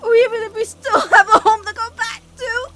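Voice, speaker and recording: A woman voicing a devoted, anxious teenage witch girl in an animated-style comic dub, earnest and halting in delivery. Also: very high-pitched. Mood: tearful, desperate.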